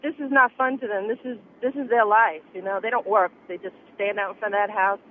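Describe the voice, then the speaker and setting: A woman's voice: mid-range voice, steady tone, fairly quick. A woman phoning a radio show over a telephone, arguing insistently and repeating herself. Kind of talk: spontaneous phone-in argument. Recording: noisy, narrow telephone line.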